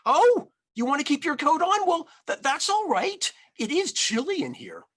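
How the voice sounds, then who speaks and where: A man voicing a kindly, chatty grandmother in her nineties from a storybook, fussy, welcoming and gently scolding. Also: extremely high pitch, big pitch swings, normal speed, pitched above the performer's own voice, exaggerated voice. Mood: accommodating. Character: kindly, chatty ninety-seven-year-old village grandmother, hard of hearing.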